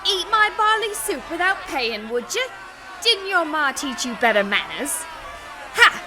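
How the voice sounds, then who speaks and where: A woman's voice: very high voice, very animated, unhurried, music in the background, crowd noise, exaggerated voice. A woman playing a feisty, combative tavern cook in a radio play, scolding shrilly. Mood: scolding, fighting.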